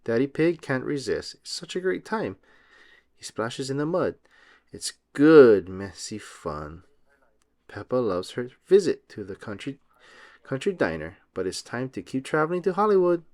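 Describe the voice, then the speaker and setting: Male storybook narrator for young children, expressive and very lively in pitch. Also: a little slow. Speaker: read-aloud host. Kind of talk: children's picture book read-aloud, scripted narration.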